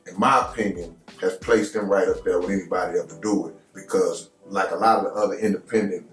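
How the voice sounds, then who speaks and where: A man's voice: low voice, fairly even intonation, fast, echoey room, soft background music, poor audio. A man hosting a casual podcast interview, effusive and repeating "man" often. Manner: effusive, keeps saying "man". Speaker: podcast host.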